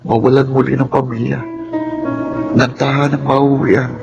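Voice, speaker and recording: A Filipino man playing an anxious, gentle, polite orphan youth in a radio drama. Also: medium pitch, flat delivery, a little slow, gravelly, lo-fi sound, over music. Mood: fearful, tender. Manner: earnest, polite.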